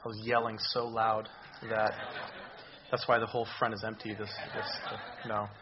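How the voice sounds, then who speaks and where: A man giving a sermon as a visiting missions speaker, his voice hoarse from recent illness, talking in a halting way with many ums and restarts. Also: fairly low pitch, monotone, lo-fi sound, a crowd in the background. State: hoarse, recovering voice. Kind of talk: church sermon. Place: church.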